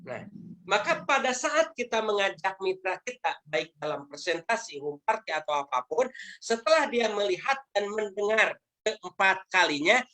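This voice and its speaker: A woman's voice: mid-range voice, animated, normal speed, a bit bright, low-quality recording. A woman leading a motivational network marketing training over a video call, speaking emphatically in a lecturing manner and posing rhetorical questions.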